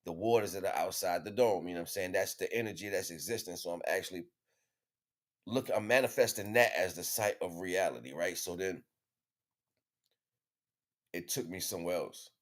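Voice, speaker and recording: Male livestream host, fairly low voice, informal spiritual monologue, emphatic and slangy with repeated phrases. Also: moderate pace, pauses often.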